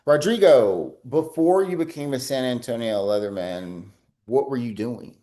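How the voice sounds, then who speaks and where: A man's voice: medium pitch, expressive, normal speed, dark tone. A man hosting an interview podcast, talking loosely and chattily with plenty of fillers. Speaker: podcast host. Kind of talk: casual interview.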